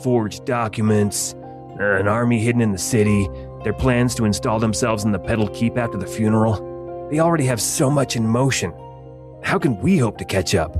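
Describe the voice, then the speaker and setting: Man as worried young nobleman, inner monologue, low voice, music behind. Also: close to an everyday voice. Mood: anxious. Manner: fretful inner monologue, rapid self-questioning. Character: anxious young male noble overthinking his choices. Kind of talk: character inner monologue in a tabletop game.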